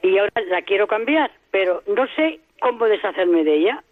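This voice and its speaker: A woman's voice: mid-range voice, expressive, moderate pace, no echo. An older woman from Madrid with a Madrid accent, calling in to a radio show, chatty and rambling with hesitant restarts. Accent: Madrid Spanish. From Madrid, Spain. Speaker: caller. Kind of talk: phone call to a radio show. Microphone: telephone. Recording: tinny phone line, dry.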